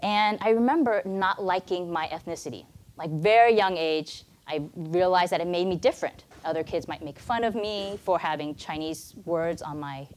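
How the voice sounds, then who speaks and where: A woman's voice: lowish voice, moderate pace, darkish tone. Earnest American woman in her thirties. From United States. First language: Mandarin. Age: 30s. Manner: earnest, conversational storytelling. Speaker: guest speaker at church. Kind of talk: personal testimony talk. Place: church.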